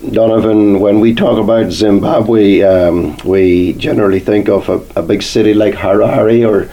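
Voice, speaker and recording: A man hosting a Sunday church program, asking questions slowly and measuredly. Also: on the low side, steady tone, dark tone, muffled recording. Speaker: radio program host.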